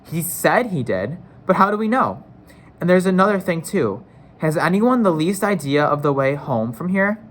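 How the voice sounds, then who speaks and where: A man's voice: fairly high pitch, sing-song, moderate pace. A man voicing a sullen, suspicious, argumentative schoolboy in a storybook, with pointed, challenging questions.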